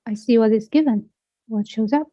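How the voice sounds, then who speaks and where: A woman with a fairly high, mellow voice guides a self-inquiry conversation. She asks gently probing and playful questions. The audio is dry and slightly muffled, like a video call. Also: moderate pace, no echo.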